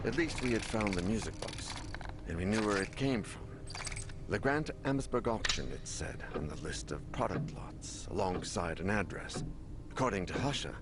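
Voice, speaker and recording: Deep-voiced, haunted, weary man. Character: haunted, weary man investigating a cursed music box. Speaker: game protagonist and narrator. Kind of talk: dramatic video game dialogue and brooding narration. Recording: loud music under the voice.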